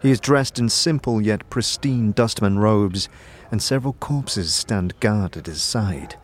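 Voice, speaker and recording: A man's voice: fairly low pitch, a little slow, a little hiss or hum. A man giving scripted narration for a fantasy audio drama. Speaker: narrator and lead player.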